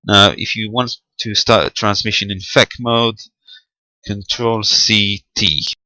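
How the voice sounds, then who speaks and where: Deep-voiced, slow-talking man giving a tutorial. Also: fairly even intonation, sharp tone, no room sound, rough recording. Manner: halting, matter-of-fact, thinking aloud. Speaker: amateur radio demonstrator. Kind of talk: unscripted hands-on technical tutorial.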